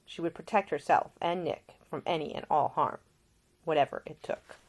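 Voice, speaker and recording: Lower-pitched woman narrating fiction. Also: moderate pace, rough recording. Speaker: author reading own novel. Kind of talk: scripted audiobook narration.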